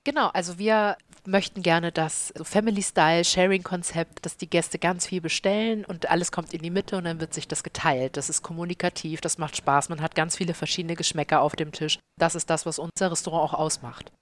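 Woman in her 30s, Hamburg accent, chef, slightly low voice, eager and chatty in interview. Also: fairly quick, few pauses. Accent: Hamburg German. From Hamburg, Germany. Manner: lively, enthusiastic storyteller. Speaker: chef and restaurant owner. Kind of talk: casual interview answers.

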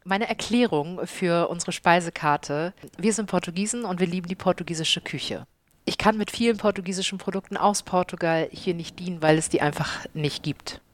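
Lively chef, slightly low woman. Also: normal speed, dry recording. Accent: Hamburg German. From Hamburg, Germany. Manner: lively, enthusiastic storyteller. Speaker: chef and restaurant owner. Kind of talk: casual interview answers.

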